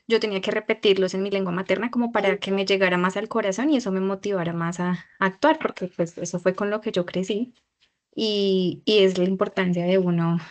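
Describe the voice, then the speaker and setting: A woman student giving a reflective, earnest answer in an online class. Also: mid-range voice. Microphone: video call.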